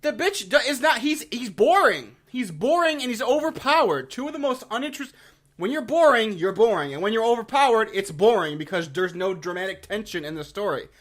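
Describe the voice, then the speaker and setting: High-pitched man on a sweary, exasperated rant. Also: expressive, a little fast, fairly bright tone. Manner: sweary, blunt, exasperated, informal slang. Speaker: video game lore reviewer and host. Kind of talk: casual opinionated review rant.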